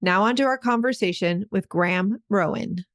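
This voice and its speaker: A woman's voice: medium pitch, normal speed, over loud music. A woman co-hosting a podcast, reading a short scripted preview and asking curious, upbeat questions. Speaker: podcast co-host. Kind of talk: scripted segment reading and casual chat.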